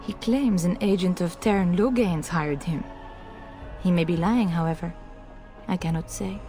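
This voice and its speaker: A woman playing a haughty but frightened noblewoman and mother in a video game, pleading in halting, dramatic speech. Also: lowish voice, dark tone, soft background music, close to an everyday voice. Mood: defensive. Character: distraught, pleading noblewoman, wife and mother, haughty when challenged.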